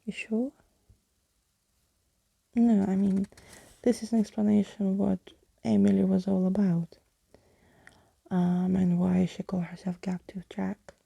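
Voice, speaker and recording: A woman reading a children's story aloud in a soft ASMR style, often dropping into whispers. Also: medium pitch, little pitch movement, a little slow, gravelly, pauses often, husky, no room sound.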